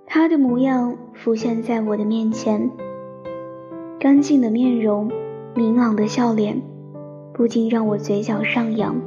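Shy young heroine of a romance audio drama, played by a woman: high-ish, soft, sentimental, piano underscore. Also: fairly even intonation, a little slow, close to an everyday voice. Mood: wistful, tender. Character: shy romantic young woman narrating her love story. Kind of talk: Chinese radio drama, sentimental first-person narration. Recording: slightly dull sound under piano music.

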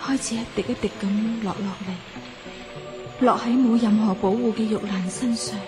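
Self-possessed young woman novelist, played by an actress, high voice. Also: steady tone, measured pace, close to an everyday voice. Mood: absorbed, storytelling. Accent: Hong Kong Cantonese. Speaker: lead actor and narrator. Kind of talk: radio drama acting and narration. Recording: music behind.

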